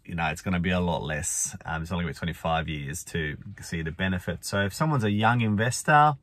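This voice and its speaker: Male vlogger, 40s, deep and croaky with a cold, rambling, chatty, dry close sound. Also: fast, fairly bright tone, dry recording. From Australia. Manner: chatty, rambling, frequent fillers and restarts. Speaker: finance vlogger. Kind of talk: casual talking-head vlog. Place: inside a parked car. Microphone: phone or camera at arm's length.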